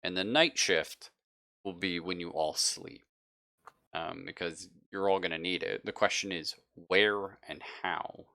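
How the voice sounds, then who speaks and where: A man's voice: sits low, unhurried, creaky, bright, forceful tone. A man running a tabletop roleplaying game as game master, narrating casually. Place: live online game session.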